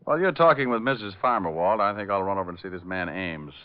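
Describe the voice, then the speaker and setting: Male actor for laconic, wisecracking 1940s radio gumshoe; lower register, dull vintage sound. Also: fast, natural voice. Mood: dry, businesslike. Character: wry, hard-boiled private detective, cool and mercenary. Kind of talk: 1940s radio detective acting and narration.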